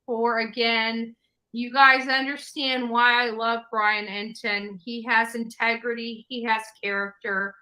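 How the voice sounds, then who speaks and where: A woman's voice: on the high side, little pitch movement, a little slow, sharp tone, poor audio. A woman talking casually to an online audience in an emphatic, repetitive way.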